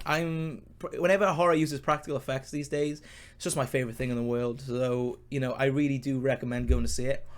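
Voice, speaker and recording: A man hosting a casual, rambling film review, enthusiastic and emphatic, his speech slightly impeded by a sore mouth. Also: on the high side. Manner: enthusiastic, informal, emphatic and repetitive. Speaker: podcast host, film reviewer.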